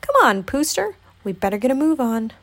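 A woman's voice: sits high, sing-song, a little fast, pitched above the performer's own voice, heavily acted. A woman voicing a bouncy, boisterous male tiger from a children's storybook, cocky and exuberant, with hooting exclamations. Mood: eager. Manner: hooting, exclamatory, folksy mangled words.

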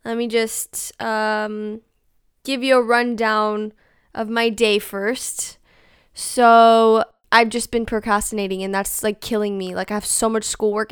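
A woman's voice: medium pitch, clean tone, no room sound, studio quality. A young woman hosting a casual solo podcast, chatty and exasperated, with lots of likes and ums. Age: young adult. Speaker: podcast host.

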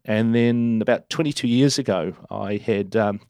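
A middle-aged man with a New Zealand accent, a guest telling stories in a relaxed, self-deprecating way with many restarts. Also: lowish voice, normal speed, dry recording, clean studio sound. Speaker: interview guest. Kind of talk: relaxed storytelling.

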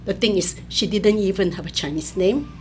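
An older woman from Malaysia, a professor being interviewed, explaining in a measured way with many fillers. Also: medium pitch, over music. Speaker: anthropology professor, guest. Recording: faint ambient noise.

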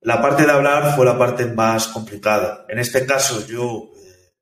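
A man, a software developer, talking casually in an interview, thoughtful and hesitant, with many restarts. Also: mid-range voice, steady tone, unhurried. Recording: echoey room, distant from mic.

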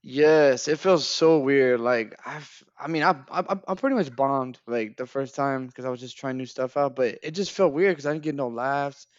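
A man's voice: mid-range voice, moderate pace, hardly any pauses, no room sound. Laid-back young male comedian, dull audio. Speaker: guest comedian. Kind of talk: casual chat.

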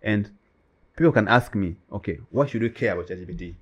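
A man's voice: fairly low pitch, fast talker, husky, dull audio. A man whose first language is Swahili, co-hosting a casual chat and talking emphatically with many "you know"s. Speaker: co-host.

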